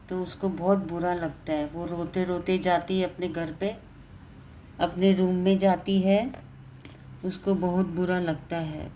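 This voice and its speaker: A woman storyteller with a slightly low voice narrates a domestic tale. Her telling is informal, and there is some noise in the recording. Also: dark tone.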